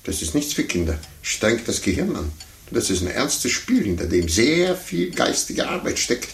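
An actor playing a fussy, condescending older gentleman in a 1950s radio play, muttering to himself and talking down patronizingly. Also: medium pitch, big pitch swings, moderate pace. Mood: astonished, patronizing. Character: condescending, fussy, self-important older gentleman chess amateur. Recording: roomy, noisy 1950s radio recording.

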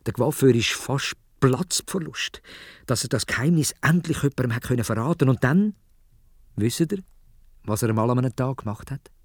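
A man's voice: mid-range voice, animated, normal speed, dry recording, clean studio sound. A man with a Swiss German accent telling a story for children in a dramatic way, addressing his listeners directly.

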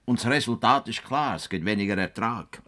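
A male farmer set on saving the world. He speaks German with a Swiss accent in a deliberate, dogged way. He is earnest and stubborn, as heard in a radio play. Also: fairly low pitch, pushed and bright. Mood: earnest conviction.

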